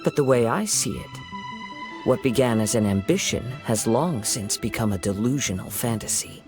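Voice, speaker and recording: An actor voicing a calm, perceptive young wandering samurai in a video game, with a measured, soothing delivery. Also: male voice, medium pitch, over music, close to an everyday voice.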